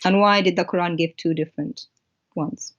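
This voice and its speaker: A woman whose first language is Arabic, a guest explaining text on screen in a halting way with many pauses. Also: on the low side, fairly even intonation, dull audio. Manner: halting, pointing things out.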